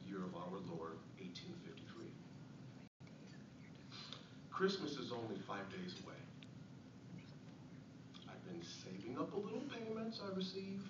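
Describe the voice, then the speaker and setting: A man playing a poor, humble Victorian father and clerk, reading his diary aloud in an earnest, theatrical way with deliberate pauses. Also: fairly low pitch. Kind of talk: dramatic diary-style reading of an essay. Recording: echoey, noisy, muffled recording from far off.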